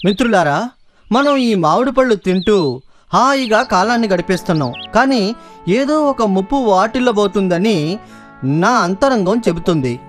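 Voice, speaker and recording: A careful monkey king in a children's cartoon story. He speaks with measured authority as a protective leader, music playing softly behind. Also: high voice, animated. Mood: foreboding. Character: cautious, wise monkey king guarding his troop.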